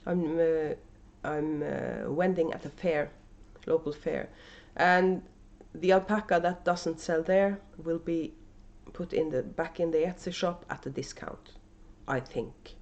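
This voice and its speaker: A Norwegian-accented woman talks casually and hesitantly to her camera at home, full of "uh"s and restarts. Her voice sits a little low for a woman. The camera audio sounds somewhat dull and muffled. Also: slow pace, few pauses, darkish tone. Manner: hesitant, many fillers and restarts. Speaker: knitting podcast host and yarn dyer. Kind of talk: casual unedited video podcast chat. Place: at home, indoors. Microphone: camera microphone.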